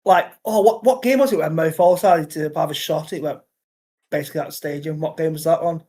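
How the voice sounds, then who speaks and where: Two to four sentences: A young man with a Scouse-tinged Northern English accent co-hosts a football podcast. His voice sits a little high and he talks in a bouncy, excitable, chatty way, full of quick banter. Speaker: podcast co-host. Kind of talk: casual football chat.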